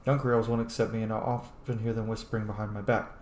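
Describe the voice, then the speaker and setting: A man's voice: fairly low pitch, flat delivery, fast talker, darkish tone. A man doing casual, sweary let's play commentary and reading in-game letters aloud as he roleplays. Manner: sweary, informal. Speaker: game streamer roleplaying as his character. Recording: echoey room with faint background noise.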